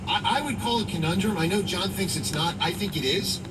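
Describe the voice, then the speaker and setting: High-voiced American man on distant, noisy radio. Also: a little fast. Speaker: sports talk radio host. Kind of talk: conversational sports talk radio. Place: played over truck radio, picked up by dash camera. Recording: radio heard distantly inside noisy truck cab. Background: loud vehicle road noise.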